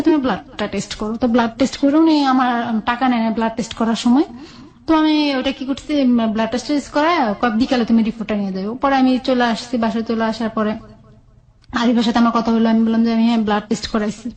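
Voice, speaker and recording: High-voiced Bangladeshi woman telling her story. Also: fast talker, darkish tone, low-quality recording. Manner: colloquial, recounts conversations as reported dialogue. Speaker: guest telling own life story. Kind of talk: candid personal storytelling in an interview.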